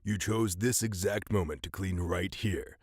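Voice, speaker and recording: A man acts out a ten-year-old evil genius with a lowish voice and deliberate pace. He is pompous and theatrical, relishing elaborate words like a miniature mad scientist in a radio play. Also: a little mellow, slightly rough, no room sound, clean studio sound. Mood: disdainful. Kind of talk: audio drama acting.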